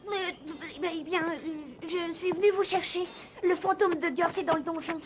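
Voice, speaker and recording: A woman playing a spirited, quick-witted young medieval heroine in a French cartoon dub, urgent and animated. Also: very high voice, a little hiss or hum. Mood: hesitant, urgent.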